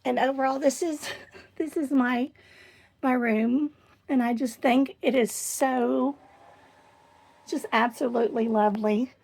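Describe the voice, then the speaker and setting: Hoarse chatty woman touring her van. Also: sits high, fairly even intonation, a little mellow. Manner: chatty and enthusiastic, pausing while showing things. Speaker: vlogger. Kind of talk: casual home tour vlog. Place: inside a small camper van.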